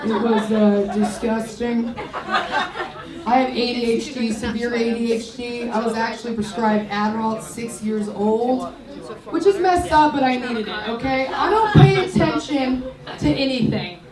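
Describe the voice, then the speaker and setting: A young woman in her twenties doing a stand-up set on a comedy club stage into a handheld mic, loud and brash, shouting her punchlines. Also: fairly high pitch, measured pace. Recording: roomy, distant, loud crowd and noise.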